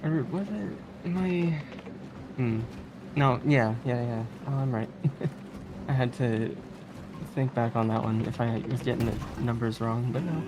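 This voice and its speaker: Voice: medium pitch, measured pace. A speedrunner giving casual, rambling commentary on a live stream, often chuckling. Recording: noisy live stream audio.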